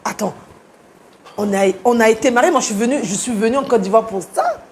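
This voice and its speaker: A woman's voice: on the high side, brisk, darkish tone, a little hiss or hum. A woman who is a guest on a reconciliation talk show, indignant and confrontational toward her husband.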